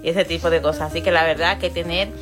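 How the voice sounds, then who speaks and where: A woman's voice: fairly low pitch, fairly even intonation, fast talker. A woman with a Dominican accent talking in a casual conversational way. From Dominican Republic. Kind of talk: casual chat. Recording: music playing behind the voice. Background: background music.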